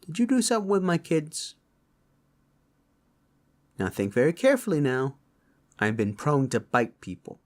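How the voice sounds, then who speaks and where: A man's voice: on the high side, wildly swooping pitch, normal speed, pauses often. A man playing a hot-tempered, protective beast father in a fantasy audio drama, speaking in a blunt, threatening way. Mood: suspicious.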